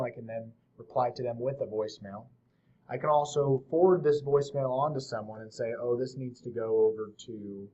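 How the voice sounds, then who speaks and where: A man hosting a software tutorial, explaining things step by step in an informal, casual way. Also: mid-range voice, muffled recording. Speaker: host of a software tutorial series. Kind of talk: casual screen-share tutorial narration.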